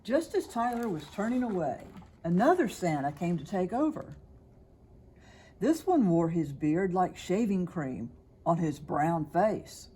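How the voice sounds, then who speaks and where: Female storybook narrator, slow pace, highly varied intonation, expressive read-aloud delivery. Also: breathy edge. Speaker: storybook reader.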